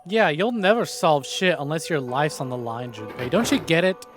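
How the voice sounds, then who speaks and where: Playful male game streamer, fairly high-pitched. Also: animated, moderate pace. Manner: playful, theatrical, sarcastic, swearing. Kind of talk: live visual novel playthrough, reading dialogue aloud with commentary. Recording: music behind the voice.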